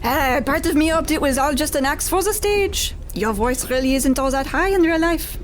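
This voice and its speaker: A woman's voice: high-pitched, lively intonation, normal speed, a little hiss or hum. A woman playing a coquettish femme fatale opera singer, in an old-time radio comedy style. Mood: flirtatious, coy. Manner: coquettish, theatrical.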